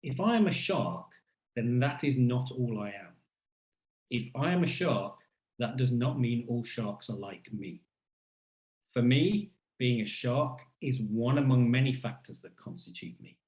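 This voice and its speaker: Man in a roomy space, deliberate rhetorical delivery. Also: medium pitch, expressive, darkish tone. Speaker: academic keynote speaker. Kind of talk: scripted first-person lecture narration in the persona of a shark.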